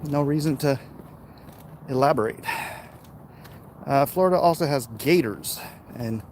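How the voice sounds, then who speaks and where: Casting: American male, average pitch, informal rambling walk-and-talk livestreamer, recorded outdoors with noticeable urban street noise. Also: measured pace, darkish tone. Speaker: livestream host. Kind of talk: casual walking livestream chat. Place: outdoors, walking along neighborhood streets. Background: urban outdoor ambience, vehicles.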